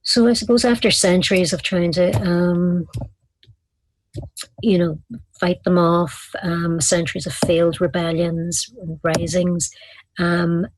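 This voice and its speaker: A woman's voice: fairly low pitch, fairly even intonation, a little slow, a little hiss or hum, rough recording. An Irish woman in her thirties, a guest on a video call, earnestly explaining history with frequent ums and restarts. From Ireland. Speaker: guest, community activist. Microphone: video call webcam.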